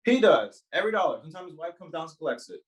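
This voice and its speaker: An actor playing a brisk, matter-of-fact ball club coach in a 1940s radio comedy routine, with emphatic, insistent delivery. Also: mid-range voice, big pitch swings, lightly acted.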